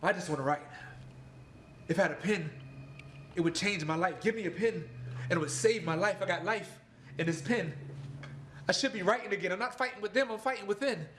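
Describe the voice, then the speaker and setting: Man acting as anguished military veteran, confessional spoken-word poet, raised pitch, echoey space with faint noise. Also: fairly bright tone. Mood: pleading, intense. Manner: halting, stammering talk that turns into impassioned rhymed verse. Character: troubled male Marine veteran performing anguished spoken-word confessional poetry. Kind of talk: spoken-word poetry performance, rhythmic and rhyming.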